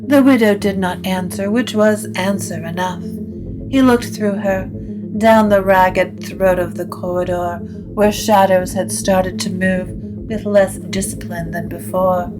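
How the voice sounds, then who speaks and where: A woman narrating scripted supernatural fiction in a measured, literary storytelling manner. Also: medium pitch, steady tone, over music, low-quality recording.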